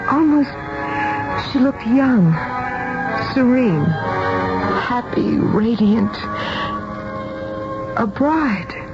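A woman's voice: fairly high pitch, animated, gravelly, heavily acted. An actress playing an anxious, tender middle-aged mother who tells the tale dramatically in a 1970s radio drama. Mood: awed. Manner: deliberate, dramatic storytelling. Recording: muffled, noisy radio broadcast with music.

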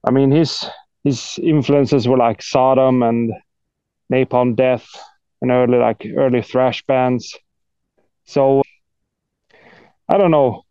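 Man, Swedish accent, clean smooth tone, hesitant, self-deprecating, casual interview guest, dry muffled recording. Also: medium pitch, fairly even intonation, slow pace, long pauses, dark tone, no echo. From Sweden. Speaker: guest, death metal guitarist.

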